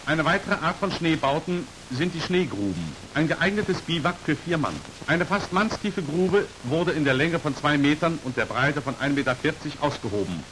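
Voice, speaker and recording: A man narrating a 1940s instructional film in a formal, precise, matter-of-fact voice, on an old hissy soundtrack. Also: on the high side. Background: steady hiss.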